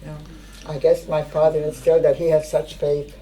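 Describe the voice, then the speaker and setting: An older woman, a native Hungarian speaker, giving spoken testimony in a halting way, often searching for words. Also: sits low, flat delivery, dark tone, a little hiss or hum, low-quality recording. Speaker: interviewee.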